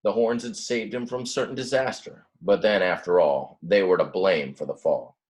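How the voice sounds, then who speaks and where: A man with a fairly low voice reads a children's story aloud. He narrates expressively, in the way of a teacher. His explanations are simple and casual. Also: normal speed. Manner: explains words simply in plain asides.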